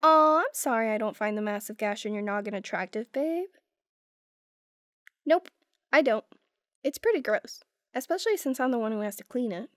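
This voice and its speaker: Female actor for affectionate, sarcastic young girlfriend in ASMR roleplay; lightly high pitch, playful teasing, dry close recording. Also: expressive, moderate pace, pauses often, no echo, close to an everyday voice.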